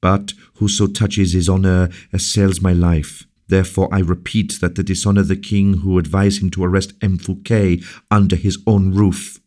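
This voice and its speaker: A man's voice: sits low, little pitch movement, moderate pace, few pauses, darkish tone, voice pushed up, natural voice. A man reading a novel aloud, voicing a young noble lady at court who pleads with fervent, principled conviction in a raised voice. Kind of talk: audiobook character reading.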